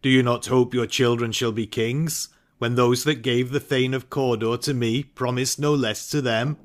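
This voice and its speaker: A man's voice: mid-range voice, steady tone, moderate pace, close to an everyday voice. Man acting a brooding, ambitious warrior thane. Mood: wondering, intent. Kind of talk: dramatic Shakespeare reading.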